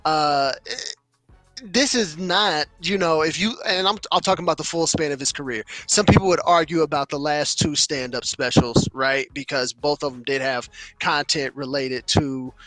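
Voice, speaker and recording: Bright-voiced man musing on a podcast. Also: medium pitch, no echo, low-quality recording. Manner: measured, thinking aloud with many fillers. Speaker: podcast co-host. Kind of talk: casual podcast discussion.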